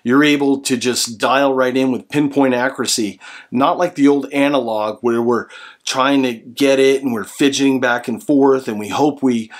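A man in his forties with an American accent, explaining a product to camera in an earnest, instructional way. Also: mid-range voice. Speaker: product reviewer and presenter. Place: small room indoors at home.

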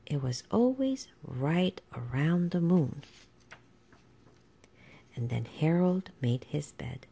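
A woman's voice: low-pitched, expressive, slow pace, gravelly, pauses often, mellow, slightly rough. A woman reading a children's storybook aloud as a narrator. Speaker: storybook reader.

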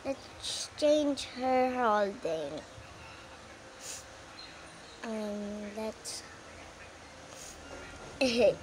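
Slow, hesitant young woman gaming. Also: a little high, expressive. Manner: soft, hesitant, slow chatter with fillers. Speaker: gamer. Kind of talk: casual gameplay commentary. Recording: loud background noise.

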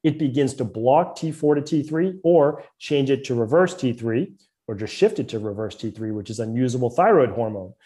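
A middle-aged man with a Boston accent talks through medical ideas at length, earnest and thorough, often restarting a phrase to get it right. His voice is mellow and dark, sitting at an ordinary pitch for a man. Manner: earnest, detailed, fluent medical explaining with frequent restarts. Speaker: naturopathic doctor, podcast guest. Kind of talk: explanatory interview talk.

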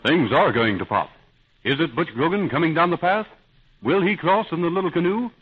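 A man's voice: fairly high pitch, expressive, a little fast, breathy edge, faint music behind. A man announcing a 1930s radio serial in a melodramatic, suspenseful style. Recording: tinny, narrow 1930s radio recording.

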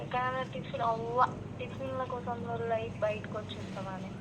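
A woman's voice: on the high side, fairly even intonation, a little fast. A woman with a Telangana Telugu accent speaks casually over the phone, asking things in a concerned, insistent way. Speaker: friend receiving a prank call. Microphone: heard through a phone call. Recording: very noisy phone call.

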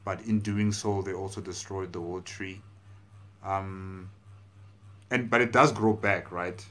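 A man in his thirties giving casual reaction commentary in a halting, thinking-aloud way, recorded in a room on a clip-on mic. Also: low voice, fairly even intonation, a bit bright. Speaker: reaction video host. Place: indoor room at home.

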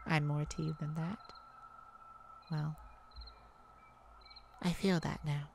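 Casting: tender, emotional vampire acted by a woman, lowish voice with gravelly fry, hesitant delivery for intimate ASMR roleplay. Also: a little mellow, a little hiss or hum, exaggerated voice. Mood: tender, vulnerable. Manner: hesitant, emotional, halting with sighs and pauses. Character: tender, wounded, lovesick vampire speaking to a hunter.

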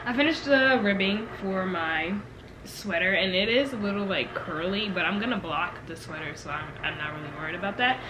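A woman's voice: mid-range voice, moderate pace, background noise. A young woman talking loosely to the camera in a small bedroom, rambling along with plenty of fillers. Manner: relaxed, rambling with many fillers. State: stuffy from a cold. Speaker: knitting vlogger. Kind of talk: casual chat to camera.

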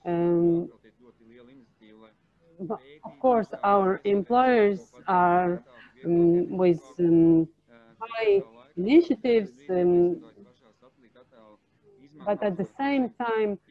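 A woman working as a simultaneous interpreter at a panel discussion, speaking in halting, separate phrases. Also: medium pitch, expressive. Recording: narrow, tinny phone-like line.

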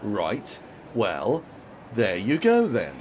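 A man's voice: lowish voice, wildly swooping pitch, very slow, a little mellow, slight background noise, full character voice. An actor playing a nervous, snappish male political advisor who stammers and snaps sarcastic retorts.

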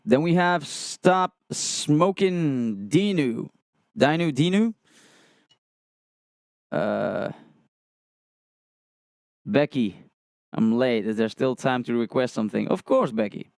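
Young male reaction streamer, unhurried delivery, gravelly, dry close mic. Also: mid-range voice, animated, a little mellow, no room sound. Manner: unhurried, thinking aloud, reads chat messages. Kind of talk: casual livestream reaction chat. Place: small room with colored lights, indoors. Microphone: studio condenser mic on a stand, headphones on.

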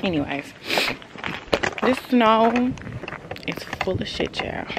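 A woman's voice: on the high side, animated, slow pace. A young woman vlogging in casual chat to her viewers, blunt and fired up, swearing freely as she talks from her car. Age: young adult. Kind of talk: casual vlog chat to camera. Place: inside a car. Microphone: phone camera on dashboard. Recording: clear car road noise. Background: car and road noise.

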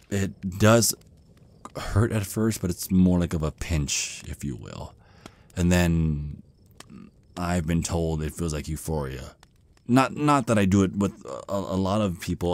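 Man playing a bashful vampire suitor, deep pitch, unhurried, flustered stutter, hesitant, ASMR roleplay. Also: mellow, full character voice. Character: shy, nervous, smitten male vampire on a first date.

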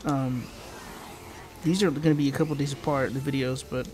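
A man's voice: medium pitch, fairly even intonation, normal speed, darkish tone. A young man with a congested, nasal voice from allergies, giving casual, rambling gameplay commentary full of fillers. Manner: rambling, filler-heavy explaining. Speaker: gaming channel host. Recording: noisy home recording.

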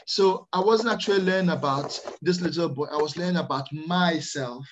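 A middle-aged man from Ghana, the Netherlands and France, telling his own story in a hesitant, measured way on a video call. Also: a little high, highs cut off, background noise. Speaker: interview guest, early years teacher. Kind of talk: personal storytelling in an interview. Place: small plain room indoors, on a video call. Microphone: computer microphone on a video call.

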